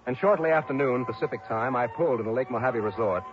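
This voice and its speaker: A man acting as a brisk, hard-boiled investigator who narrates his case in an emphatic 1960s radio drama style. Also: medium pitch, highs cut off, natural voice. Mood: brisk narrating. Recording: band-limited old radio recording, music behind.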